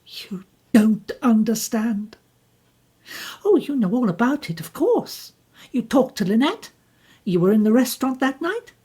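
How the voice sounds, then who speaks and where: A woman voicing a bitter, passionate young woman who speaks intensely and emphatically, with a husky, raised voice. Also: a little high, big pitch swings, a little slow, warm tone, pitched above the performer's own voice, full character voice. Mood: impassioned.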